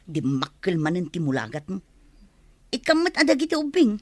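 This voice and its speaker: A woman with an Ilocano accent playing a sharp-tongued, exasperated wife who scolds and answers back in a radio drama. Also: on the low side, sing-song, moderate pace, no echo. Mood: exasperated, scolding. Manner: scolding, retorting. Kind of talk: Filipino radio drama acting.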